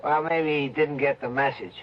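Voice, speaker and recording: An actor playing a callous, commanding male fugitive who leads a trio, gruff and dismissive, in an old-time radio drama. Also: on the high side, steady tone, moderate pace, lightly acted. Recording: dull, noisy old radio recording.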